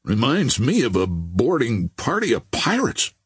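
Man as an ardent, husky young gentleman. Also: mid-range voice, huge pitch swings, measured pace, no room sound, studio quality, full character voice. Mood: teasing.

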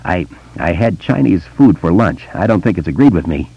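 Narrator as a shaken, low-voiced man. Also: animated, normal speed, husky, no room sound, dull audio, full character voice. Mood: apologetic. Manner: low, trembling, hesitant. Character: shaken, apologetic adult man, softly spoken.

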